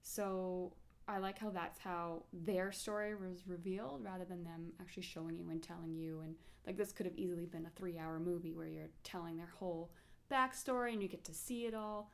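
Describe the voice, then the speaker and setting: A woman with a fairly low voice chats about a film in an easygoing manner. She explains her views at length, linking her thoughts together with casual fillers. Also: steady tone, a little fast, creaky. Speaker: podcast co-host.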